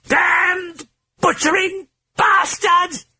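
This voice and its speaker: A man voicing a furious spirit with a British accent, shrieking curses in a hoarse voice. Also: extremely high pitch, fairly even intonation, very slow, bright, forceful tone, dry recording, shouting, pitched above the performer's own voice, exaggerated voice.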